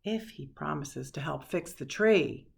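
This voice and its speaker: A woman's voice: low-pitched, expressive, a little slow, vocal fry, husky, voice pushed down, exaggerated voice. A woman voicing a stern, flustered hospital nurse in a storybook, firm and grudging.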